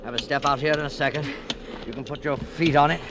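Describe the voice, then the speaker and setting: An actor playing a weary, gentle, self-doubting invalid mountaineer in a 1940s radio drama, speaking hesitantly and reassuringly, on a noisy vintage broadcast. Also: male voice, fairly high pitch, steady tone, fast, close to an everyday voice. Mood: urgent, reassuring.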